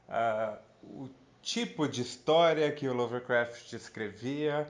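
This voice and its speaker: A man with a middle-pitched voice speaks as a guest professor, explaining ideas in a measured conversational way. He often pauses, restarts phrases and leans on filler sounds while he thinks. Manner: hesitant, many fillers and restarts. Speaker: professor and author.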